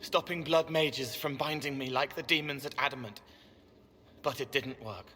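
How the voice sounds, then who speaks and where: A man's voice: medium pitch, moderate pace, bright, forceful tone, close to an everyday voice. An actor playing an anxious, earnest young male spirit in a video game, intense and troubled. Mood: distressed. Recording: long reverberant hall, faint noise.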